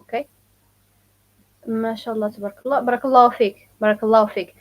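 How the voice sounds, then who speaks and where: Moroccan lady teaching live, slightly high voice, chatty, repeats words slow for learners. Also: fairly even intonation, highs cut off. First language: Moroccan Arabic. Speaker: language teacher. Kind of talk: live-stream language lesson.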